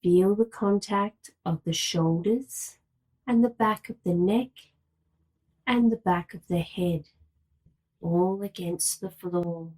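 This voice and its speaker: Female yoga nidra guide: slightly low register, unhurried, very slow delivery, measured and soothing. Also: darkish tone, slightly rough, rough recording. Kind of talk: guided relaxation meditation, slow instructions with long pauses.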